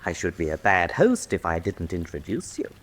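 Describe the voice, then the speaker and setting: Suave, menacing colonel villain, swooping voice. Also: male voice, low-pitched, wildly swooping pitch, moderate pace, a little hiss or hum. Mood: sardonic, courteous. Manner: suave, theatrical, mocking. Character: menacing, urbane villainous colonel, male, sardonic and cruel. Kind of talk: radio drama acting.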